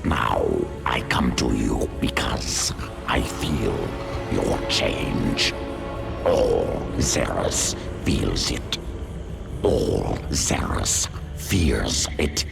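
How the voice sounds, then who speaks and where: An actor playing a blunt, hungry primal alien beast in a game, with a snarling, gravelly voice and terse, primitive phrasing. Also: male voice, a bass voice, big pitch swings, extremely slow, heavily acted. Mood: blunt, predatory. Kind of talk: video game cutscene acting. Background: loud music.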